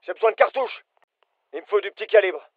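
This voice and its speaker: A man's voice: high voice, quick pace, no echo, narrow, tinny audio. A male actor playing an alert, businesslike soldier giving clipped, urgent callouts in a military shooter game. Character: British fireteam soldier, alert, businesslike adult man. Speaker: voice actor, French dub.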